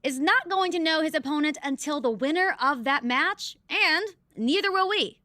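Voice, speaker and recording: A woman's voice: high-pitched, animated, normal speed, bright, forceful tone, no echo. A woman playing a teasing wrestling broadcast host, delivering scripted panel commentary in a game. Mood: teasing, brisk.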